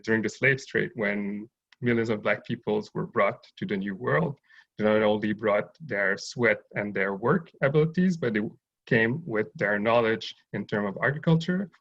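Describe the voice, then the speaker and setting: Man, gravelly fry, measured and hesitant, muffled call audio. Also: on the low side, a bit bright. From Haiti, raised in Montreal. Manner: thoughtful, hesitant with many fillers. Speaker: guest, urban farm owner. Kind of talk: interview over video call.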